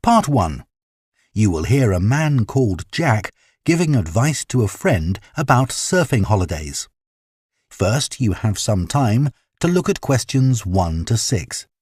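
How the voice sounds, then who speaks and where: A man reading scripted test instructions as an exam announcer, formal and deliberate. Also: on the low side, sing-song, darkish tone, slightly rough, no echo, studio quality.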